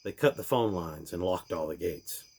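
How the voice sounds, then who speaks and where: A man reading a rhyming storybook aloud in a theatrical way, with deliberate pauses. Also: deep voice, warm tone, slightly rough. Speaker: reader of a storybook.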